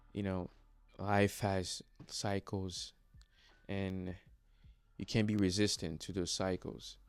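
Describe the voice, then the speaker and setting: Young congolese-born guy vlogging, really low flat voice, lots of ums, faint music behind. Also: clean tone, no room sound. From Democratic Republic of the Congo. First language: Congolese language. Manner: hesitant, many fillers and restarts, earnest. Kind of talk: motivational personal vlog.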